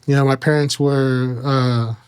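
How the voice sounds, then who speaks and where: Halting American man, clear, even voice. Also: medium pitch. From Kansas and Mexico. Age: 30s. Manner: halting, frequent "you know" pauses. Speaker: interview guest. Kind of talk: casual personal storytelling.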